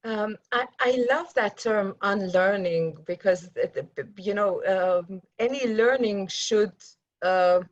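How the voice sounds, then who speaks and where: A middle-aged woman who grew up in Iran talks in a thoughtful, halting way, stopping and restarting often as she explains. Her recording is dull and muffled, with a dry, close sound and no room echo. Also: medium pitch. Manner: halting, many restarts and fillers. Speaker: guest, journalist and activist. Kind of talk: reflective conversational interview.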